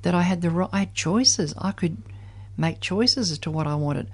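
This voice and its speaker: A woman's voice: fairly low pitch, moderate pace, a little mellow, slightly rough. A woman of seventy sharing her personal story in conversation, reflective and candid. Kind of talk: personal recollection in group conversation.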